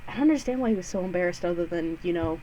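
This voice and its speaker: A woman's voice: on the low side, very fast, dark tone, a little hiss or hum, rough recording. A woman hosting a let's play, reading a visual novel aloud with sarcastic, giggly asides and free swearing. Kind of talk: casual, joking read-through with asides.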